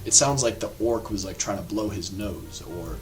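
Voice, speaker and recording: A man giving a casual, rambling film review, full of filler words and quick asides, over faint background music. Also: fairly low pitch. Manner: animated, rambling, lots of filler words and laughs.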